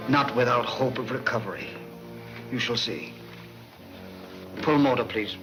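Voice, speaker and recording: An actor playing a cold, composed criminal professor with a formal, measured, menacing manner in a 1940s film serial. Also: male voice, mid-range voice, natural voice, rough recording. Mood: coldly composed. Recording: old 1940s film soundtrack with music.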